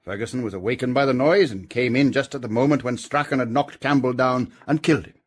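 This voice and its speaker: Actor as a measured, husky police chief constable. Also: male voice, mid-range voice, close to an everyday voice. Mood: explaining, confident. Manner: formal, articulate, deliberate. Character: senior police chief constable, confident, measured, reasoning theorist. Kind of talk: British detective radio drama acting.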